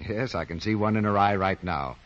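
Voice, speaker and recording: A man's voice: on the low side, brisk. A man announcing a 1940s radio commercial in a polished, persuasive way. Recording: muffled 1940s radio recording.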